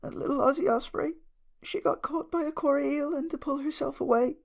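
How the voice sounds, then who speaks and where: A man puts on a very high, gentle young pony mare for a storybook. She is grieving and tearful, and speaks in halting, apologetic bursts. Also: steady tone, vocal fry.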